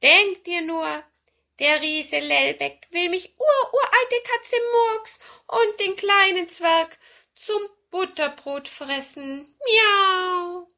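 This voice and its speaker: A very high, bright old she-cat for a children's storybook. She is sly and coaxing, drawing out her words with wheedling meows. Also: heavily acted. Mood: flattering, urgent. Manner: meowing, drawn-out wheedling speech. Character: ancient talking cat, female, sly and coaxing.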